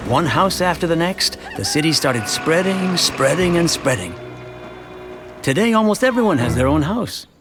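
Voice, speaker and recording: A man playing a chatty, wry middle-aged suburban family man who narrates his town's story in an animated short. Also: fairly high pitch. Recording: music behind the voice. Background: music.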